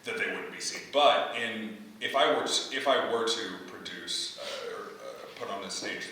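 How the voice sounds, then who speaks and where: A man's voice: lowish voice, moderate pace, pushed and bright. A man thinking aloud as a playwright in an unscripted discussion, hesitant and often rewording as he answers feedback. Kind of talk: unscripted post-reading discussion. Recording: echoey room, distant mic, background noise.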